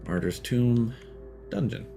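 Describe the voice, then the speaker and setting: A man's voice: medium pitch, animated, slow, vocal fry, warm tone, faint music behind. A man streaming a video game and chatting casually as he plays, thinking aloud with fillers and small laughs. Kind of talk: casual live gameplay commentary.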